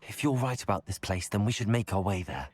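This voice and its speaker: A man's voice: fairly low pitch, expressive, a little fast. A young man acting as an earnest, determined student wizard in a video game, polite and direct in manner. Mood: earnest, questioning. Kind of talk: video game cutscene dialogue.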